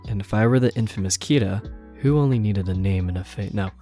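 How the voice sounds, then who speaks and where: A man playing an awkward, deadpan, reclusive young detective in an amateur audio roleplay. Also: on the low side, fast talker, faint music behind, close to an everyday voice.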